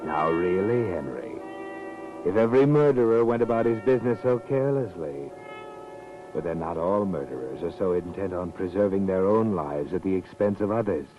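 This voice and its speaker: A very deep male narrator of a vintage radio mystery, ominous and mockingly sardonic. He speaks deliberately, as if he knows every secret. The sound is dull and aged, with faint music behind. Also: expressive. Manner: sardonic, taunting, haunting deliberate delivery. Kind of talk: 1940s radio mystery narration, second person.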